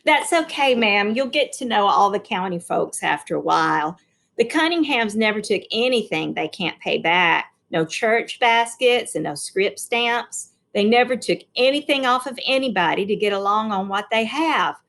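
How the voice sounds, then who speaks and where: A woman's voice: mid-range voice, expressive, normal speed, vocal fry, a bit bright. Woman voicing a frank, earnest young schoolgirl. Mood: earnest explaining.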